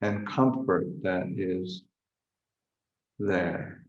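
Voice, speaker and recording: A man leading a guided meditation as a Buddhist teacher, speaking haltingly with long pauses as he searches for words. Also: deep voice, dull audio. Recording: roomy, echoing livestream recording.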